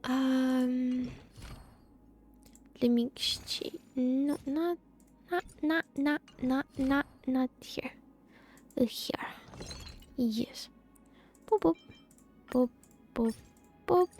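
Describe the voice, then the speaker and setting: A woman with a high voice commentates casually on her game stream. She takes her time, murmuring playfully to herself in little sound words. Faint music plays behind her. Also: extremely slow, pauses often, darkish tone.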